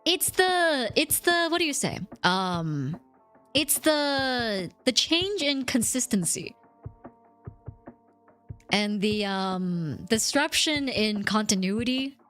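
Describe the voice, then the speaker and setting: A woman streamer chatting casually and thanking viewers, her voice slightly rough from a recent cough. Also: fairly high pitch, a bit bright. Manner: chatty, rambling, reads chat and thanks subscribers. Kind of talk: casual livestream chat.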